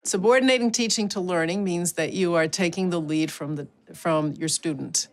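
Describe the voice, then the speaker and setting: A woman's voice: on the low side, moderate pace. A woman teacher speaking thoughtfully and conversationally in an interview. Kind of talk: casual interview.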